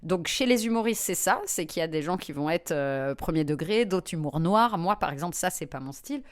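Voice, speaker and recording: A woman comedian, a guest in an interview, telling lively personal anecdotes with frequent fillers. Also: on the low side, a little fast. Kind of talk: anecdotal storytelling in conversation.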